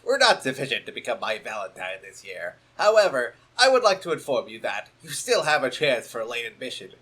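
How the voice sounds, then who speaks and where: A man's voice: medium pitch, pushed and bright. Man doing a pompous know-it-all bird. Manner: pompous and self-important.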